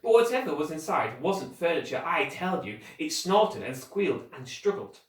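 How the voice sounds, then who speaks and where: Man playing an excitable, emphatic schoolboy, swooping upward. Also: fairly high pitch, moderate pace, voice pushed up. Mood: excited, puzzled. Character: excitable schoolboy describing a mystery. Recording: roomy echo, distant microphone.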